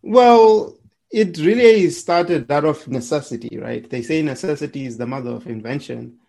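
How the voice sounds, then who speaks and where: Guest, male, cheerful storyteller, stumbles and restarts, lightly higher pitch. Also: moderate pace, mellow. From Zimbabwe. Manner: upbeat, chuckling, restarts words, clears throat. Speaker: podcast guest, entrepreneur. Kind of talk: casual storytelling interview.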